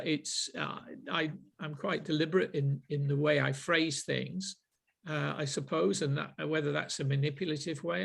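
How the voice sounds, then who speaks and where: A middle-aged man with a Manchester accent, leading a group sales session in a deliberate, reflective way. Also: a little high, vocal fry, fairly bright tone. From Manchester, England. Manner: deliberate, with frequent ums and restarts.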